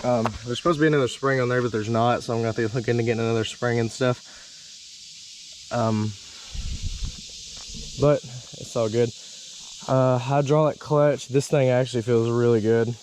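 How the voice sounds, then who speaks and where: Man, hoarse from a cold, mid-pitched, rambling vlog talk, faint outdoor insects behind. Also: steady tone, normal speed, pauses often, a little mellow, slight background noise, low-quality recording. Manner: rambling, lots of uh and um. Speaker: dirt bike vlogger. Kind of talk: casual vlog walkthrough.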